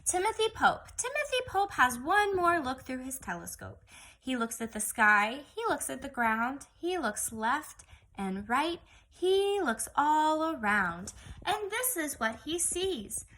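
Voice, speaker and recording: A woman with a high, bright voice speaks as a teacher of young children. She takes her time, in a singsong read-aloud style, posing questions to her listeners as she goes. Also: very animated, measured pace.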